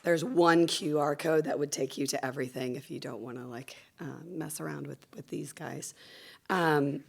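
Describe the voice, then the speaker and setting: A woman giving a conference talk while losing her voice, hoarse and gravelly, with many fillers and restarts, speaking into a handheld microphone. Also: moderate pace. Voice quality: gravelly, low. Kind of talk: conference presentation. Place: conference room with audience.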